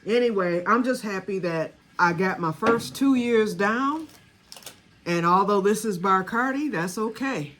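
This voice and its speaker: A woman's voice: mid-range voice, unhurried, a bit bright, slight background noise. A woman in her sixties, chatting casually to camera about her homemade batch, rambling and talking straight to her viewers. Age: 60s. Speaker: home vanilla maker.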